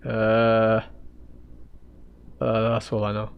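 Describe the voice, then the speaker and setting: A man hosting a livestream, chatting casually with his viewers while he draws, in a halting way full of restarts. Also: on the low side, monotone, creaky, smooth, narrow, tinny audio. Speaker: livestream host.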